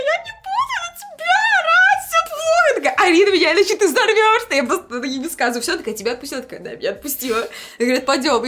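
Woman musician as interview guest: slightly high voice, casual and slangy, expressive storyteller who acts out quoted lines. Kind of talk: casual chat, lively anecdotes.

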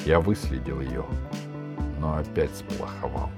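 A man's voice: a bass voice, fairly even intonation, measured pace, pitched below the performer's own voice. A man voicing a grim, weary wronged husband in a storybook tale, confessing solemnly. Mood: weary, resigned. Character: grim, weary divorced husband of a she-djinn. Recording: music behind the reading.

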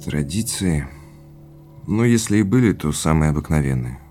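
An actor playing an easygoing, laconic boyfriend in a naturalistic audio drama, with an understated delivery. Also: male voice, rumbling low, lively intonation, moderate pace, mellow. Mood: easygoing, curious. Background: music underneath.

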